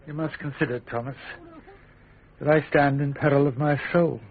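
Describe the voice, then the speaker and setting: Radio drama king, young, English, self-righteous and volatile. Very husky voice, theatrical delivery, narrow-band broadcast sound. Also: on the high side, fairly even intonation, normal speed. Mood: self-righteous, pleading. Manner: theatrical, abrupt swings between bluster and hush. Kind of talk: classic British radio drama acting.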